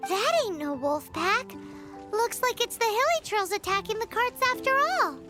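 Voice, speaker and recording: A woman playing a tiny, cheeky floating fairy girl with a squeaky childlike voice in a video game. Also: lively intonation, normal speed. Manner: refers to self in third person, exclamatory. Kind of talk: video game character acting. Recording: loud music behind the voice.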